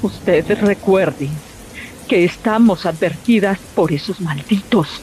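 A woman playing a frightened middle-aged Colombian mother in an audio drama, pleading with hushed urgency. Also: medium pitch, slow pace, lightly acted. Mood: grave. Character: frightened, pleading mother guarding a traumatic secret. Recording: rain noise over the voice.

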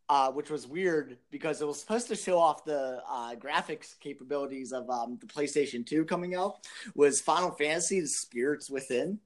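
Higher-voiced man chatting casually on a podcast.

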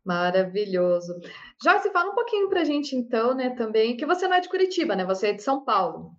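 A woman's voice: a little high, sing-song, quick pace. A Brazilian woman interviewer chatting casually with a guest, on a somewhat dull, lo-fi recording.

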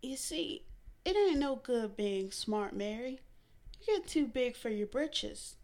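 A woman voicing a blunt, stubborn older mother who scolds loudly in folksy dialect. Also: a little high, lively intonation, slow pace. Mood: scolding. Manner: loud, folksy and bossy, drawled dialect.

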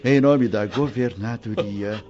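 A benevolent male spirit mentor speaks very slowly over music in a slightly muffled radio-play recording. His Brazilian voice is fatherly and ceremonial, like a formal proclamation. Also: lowish voice, darkish tone. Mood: solemn affection. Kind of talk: radio drama acting.

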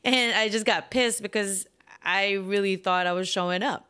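Soft-spoken Filipina-born woman, smooth voice. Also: mid-range voice, normal speed. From Philippines. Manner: thoughtful, soft-spoken, laughs lightly. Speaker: podcast co-host. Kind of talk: casual conversation with her husband.

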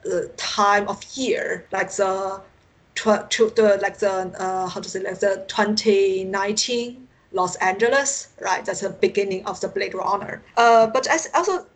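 A woman from China with a Chinese accent, a native Mandarin speaker, answering interview questions haltingly over an internet call and often searching for words. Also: mid-range voice, low-quality recording. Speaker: science fiction author, interview guest. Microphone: Skype call.

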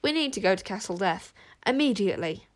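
Woman playing a cocky, excitable young wizard. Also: medium pitch, a little fast, natural voice. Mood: excited. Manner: animated, confident.

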